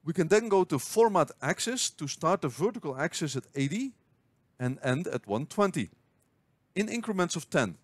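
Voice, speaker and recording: A man narrating a scripted spreadsheet tutorial, explaining step by step in a clear, measured way. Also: fairly high pitch, very animated, no room sound. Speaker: finance tutorial host. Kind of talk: scripted screen-recording tutorial.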